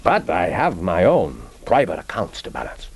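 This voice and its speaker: An actor playing a courteous, grave middle-aged Japanese intelligence chief with a formal, measured manner in a radio play. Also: male voice, sits low, huge pitch swings, slight background noise, exaggerated voice. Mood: grave, solemn. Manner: formal, measured, philosophical, prompting with "huh?". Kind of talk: radio drama acting.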